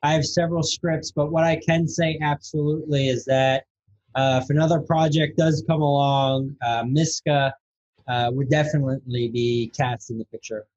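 High-pitched guy on a dull lo-fi call, upbeat, pitching his movie. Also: steady tone, normal speed, a little mellow. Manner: enthusiastic, promotional. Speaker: film director, guest. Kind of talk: casual chat.

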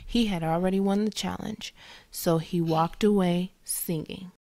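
A woman's voice: fairly low pitch, fairly even intonation, measured pace, darkish tone. A woman narrating a scripted children's bedtime story.

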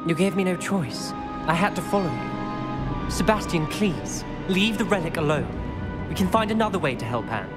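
An actor playing an anxious, principled teenage wizard friend in a fantasy game, pleading urgently and firmly. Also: male voice, sits high, lively intonation, moderate pace, loud music behind the voice. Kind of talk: scripted fantasy game acting.

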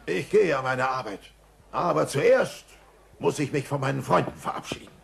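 An actor playing a bumbling, earnest, excitable middle-aged cartoon father in a German-dubbed audio play, speaking in a declamatory way. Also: medium pitch, moderate pace, creaky. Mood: sheepish. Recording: noisy old cassette audio play.

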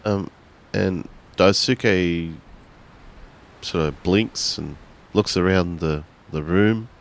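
A man playing a tabletop game, improvising his character's actions haltingly and repeating words as he goes. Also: deep voice, vocal fry. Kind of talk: improvised third-person roleplay narration.

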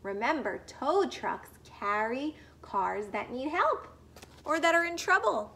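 A young woman reads aloud like a teacher of small children, in a high, very animated voice. She speaks slowly and puts questions to the listeners. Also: vocal fry, a little hiss or hum. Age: young adult.

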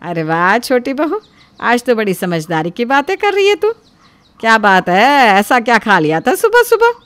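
A woman voicing a scolding, sarcastic elderly mother-in-law in an animated family story, with a nagging, taunting manner. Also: a little high, moderate pace, clear, resonant tone, no room sound.

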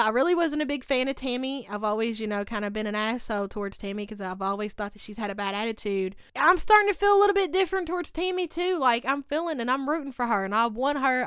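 A woman's voice: a little high, a bit bright, clean tone, no room sound, studio quality. A woman, recapping a TV show in a casual, gossipy way on her channel. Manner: chatty and gossipy, lots of "like" and "y'all". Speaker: YouTube recap host. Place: home studio. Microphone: desk mic beside her.

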